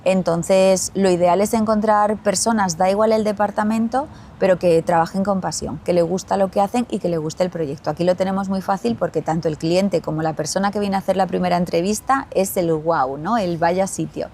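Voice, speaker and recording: A woman with an Andalusian Spanish accent, a company chief answering interview questions in a measured way, pausing and repeating as she thinks. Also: on the low side, steady tone, a little mellow, a little hiss or hum. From Spain. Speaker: glamping company CEO, guest.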